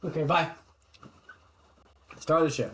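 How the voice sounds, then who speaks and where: A man's voice: on the high side, steady tone, unhurried, a bit bright. Host, American man, chatty and meandering, talking to chat from his hobby room. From United States. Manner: rambling and friendly, many ums and restarts. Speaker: streamer host. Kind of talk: casual chat with live chat viewers.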